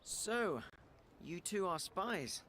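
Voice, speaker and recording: A man's voice: sits high, big pitch swings, very slow, fairly bright tone, a little hiss or hum, heavily acted. A man playing an inquisitive, skeptical adventurer in a fantasy game, pointed and dryly witty. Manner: questioning, dry, pointed. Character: skeptical, inquisitive male adventurer with dry wit.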